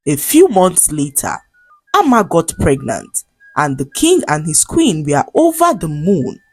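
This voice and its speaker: A woman with a Nigerian accent narrating a scripted folktale in a dramatic, expressive way. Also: sits low, a little slow, breathy edge, soft background music. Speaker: storyteller.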